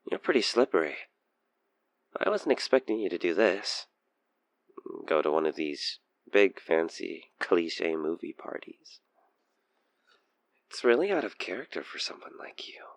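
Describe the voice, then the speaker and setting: Male actor as obsessed stalker killer for audio drama: low, theatrically animated, playfully menacing, infatuated, murmuring. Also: measured pace, long pauses, no echo, heavily acted. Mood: taunting. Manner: theatrical, teasing, slipping between murmurs and whispers. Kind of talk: intimate audio roleplay monologue.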